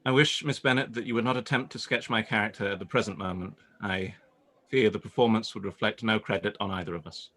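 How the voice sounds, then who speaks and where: An actor playing a proud, reserved, guarded gentleman in a period radio-play reading, speaking in a stiff, formal, restrained way. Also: lowish voice, quick pace. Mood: cool.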